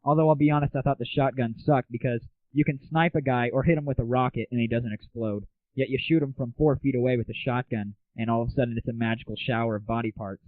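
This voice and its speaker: A man's voice: fairly even intonation, fast talker, hardly any pauses, no echo. Young American male host, sarcastic and chatty, dry phone-like lo-fi sound. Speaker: host of an online gaming audio show. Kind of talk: casual comic rant and game review. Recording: tinny, phone-like low-bandwidth audio, very dry.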